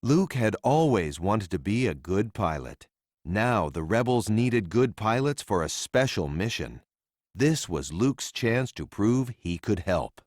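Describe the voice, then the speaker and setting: A man narrating a children's read-along story in a simple, deliberate storytelling manner for young readers. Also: lowish voice, big pitch swings, a little mellow, no echo, clean studio sound.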